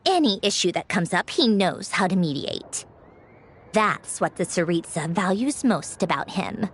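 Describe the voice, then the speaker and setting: An actress voicing a sharp-tongued, bossy female engineer and Fatui harbinger in a game, with a brisk, wry, dismissive manner. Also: medium pitch, sing-song, slight background noise. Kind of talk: game voice acting. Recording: game dialogue over soft music.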